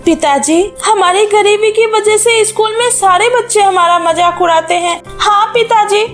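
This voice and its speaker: A woman's voice: extremely high pitch, normal speed, faint music behind. A woman voicing a poor, proud and earnest schoolgirl in a cartoon story, with a pleading, wounded manner. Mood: hurt.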